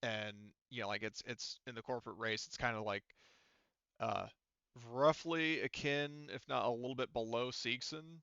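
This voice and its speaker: A man narrating a tabletop game as game master in a casual, halting, rambling manner. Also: medium pitch, steady tone, bright, forceful tone, clean tone, dry recording, dull audio. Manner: halting, rambling, filler-heavy explanations.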